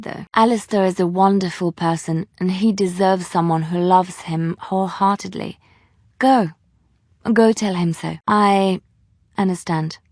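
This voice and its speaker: A woman voicing a gentle, devout young bard in a fantasy game, earnest and emotive with thoughtful pauses. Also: mid-range voice, dry recording, studio quality. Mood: resigned, tender. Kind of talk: dramatic fantasy game dialogue acting.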